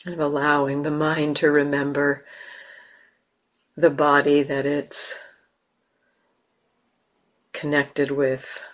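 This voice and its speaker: A woman with an American accent, a meditation teacher leading a guided meditation slowly and softly, with long pauses. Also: low-pitched. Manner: slow, soft, calm, with long pauses. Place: meditation hall.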